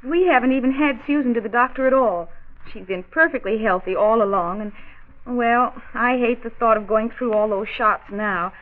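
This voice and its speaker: A woman's voice: fairly high pitch, normal speed, lightly acted. An American actress playing a flustered, apologetic young mother and housewife in a 1940s educational radio drama. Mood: sheepish. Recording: tinny, narrow-band 1940s radio recording.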